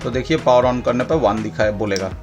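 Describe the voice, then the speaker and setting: A man's voice: mid-range voice, a little fast. A man with an Indian accent hosting a casual product demonstration, explaining step by step and repeating points for clarity. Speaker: product demonstrator and channel host. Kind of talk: casual product demo mixing Hindi and English. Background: background music.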